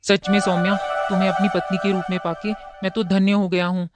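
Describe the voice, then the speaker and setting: A man voicing a downcast young husband in a cartoon, speaking in a quiet, subdued way. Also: sits high, little pitch movement, a little fast, over loud music, lightly acted.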